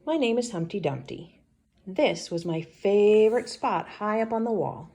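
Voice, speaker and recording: A woman reading a picture book aloud to children in an expressive storybook style, like a teacher. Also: medium pitch. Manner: pauses to ask children questions.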